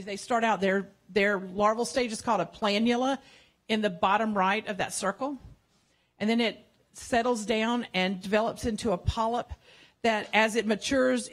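An older woman gives a relaxed science cafe lecture to a seated crowd. She talks in a chatty, playful way with frequent self-corrections. Her voice comes through a handheld microphone in a large museum hall. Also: medium pitch. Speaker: marine educator giving a public science talk.

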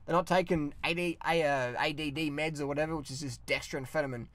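A man's voice: on the high side, fast, dry recording. A young Australian man ranting bluntly and swearing often, talking to a camera on the dashboard of his parked car. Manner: blunt, swears a lot, animated hand gestures. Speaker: vlogger. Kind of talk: casual ranting vlog.

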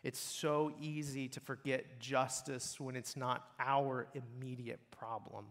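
A man's voice: medium pitch, flat delivery, takes it slowly, fairly bright tone. A man preaching a sermon in an earnest, blunt way that builds in intensity. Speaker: pastor. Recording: long hall reverb.

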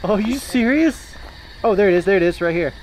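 A man's voice: sits high, animated, a little fast. Jokey man streaming over noisy game audio. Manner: jokey, profane. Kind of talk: casual live co-op horror game commentary.

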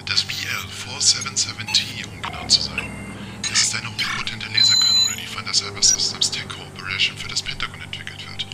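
A man's voice: on the low side, a little fast, whispered, natural voice, poor audio. A man playing a polite, pedantic male car computer in an audio drama, speaking in formal, courteous phrases. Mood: precise, matter-of-fact. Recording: loud music bed.

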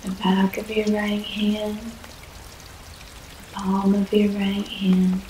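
Very slow soothing woman guiding relaxation. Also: medium pitch, completely flat delivery. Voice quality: soft, soothing, unhurried. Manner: long pauses between short phrases. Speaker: yoga nidra guide. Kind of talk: guided relaxation meditation. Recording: echoey room, faint background noise.

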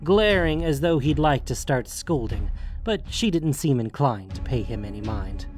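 A man narrates a fantasy story in an audio drama, reading scripted prose at a middling pitch. Soft music plays underneath him. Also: animated, normal speed. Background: background music.

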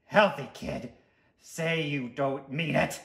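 A man's voice: fairly high pitch, animated, measured pace, vocal fry, pushed and bright, roomy sound, heavily acted. A man voicing a bossy, greedy, wisecracking talking tooth from a children's storybook, brash and demanding. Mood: dismayed, pleading.

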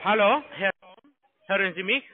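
Male call-center manager type, brisk, fluent with small slips, higher voice, phone line. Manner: brisk, smooth-talking, minor grammar slips.

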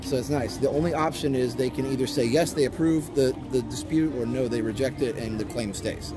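A man's voice: mid-range voice, fairly quick, background noise. A man hosting a live stream who explains technical details and cracks jokes while walking outdoors at night. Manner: explanatory, joking. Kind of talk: casual live stream chat. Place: outdoors at a theme park at night. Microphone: phone camera. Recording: music playing in the background.

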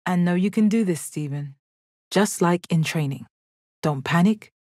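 Video game role: stoic alien gem warrior woman. Lowish register, deadpan, confident, kind, dry close recording. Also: no room sound. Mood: assured. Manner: measured, deadpan, formal phrasing. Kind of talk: video game character voice lines.

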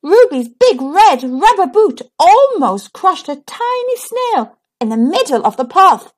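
High, very lively woman reading a storybook. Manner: dramatic, deliberate storytelling. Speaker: storybook reader. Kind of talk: expressive scripted read-aloud for children.

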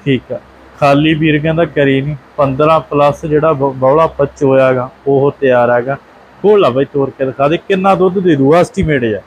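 A man's voice: fairly high pitch, fairly even intonation, normal speed, slightly rough, muffled recording. A young man hosting a dairy channel, walking through cows for sale and praising them in rhyming, doubled-up lists. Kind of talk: livestock sale walkthrough. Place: open cattle shed outdoors.

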